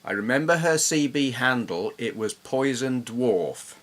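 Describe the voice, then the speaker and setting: A man's voice: mid-range voice, animated, unhurried, fairly bright tone. A middle-aged man with an English accent, reminiscing casually in an informal, rambling, self-deprecating way. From England. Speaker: host, gamer.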